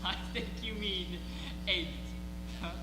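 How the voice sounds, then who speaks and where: A woman's voice: lowish voice, fairly even intonation, slow pace. A woman playing a grumpy, sarcastic servant in a comic stage reading, exasperated and exaggerated. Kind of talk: live readers' theatre comedy. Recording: very echoey hall with loud music.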